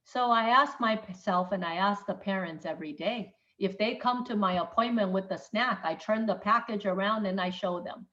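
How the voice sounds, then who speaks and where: A woman's voice: medium pitch, moderate pace, few pauses, bright, forceful tone, dull audio. A middle-aged woman from Taiwan, a doctor giving an informal webinar talk, speaking emphatically and checking in with her listeners. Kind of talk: informal lecture.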